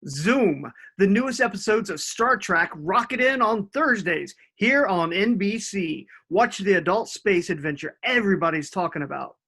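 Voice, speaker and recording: Casting: actor as hyped 1960s TV promo announcer, high-pitched, forceful, rough-edged. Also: male voice, animated, moderate pace, hardly any pauses, full character voice. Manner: punchy, hyped. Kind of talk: promo voice-over read at a table read.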